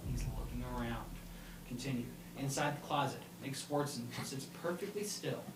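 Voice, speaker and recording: A man narrating stage directions from a comedy screenplay at a live table read, in a halting, informal way. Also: mid-range voice. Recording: roomy, distant pickup with loud room noise.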